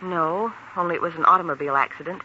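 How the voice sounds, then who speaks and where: A man playing a brisk, businesslike American hospital switchboard worker in a 1940s radio drama. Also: a little high, lightly acted. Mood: urgent, businesslike. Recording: muffled 1940s radio recording, faint noise.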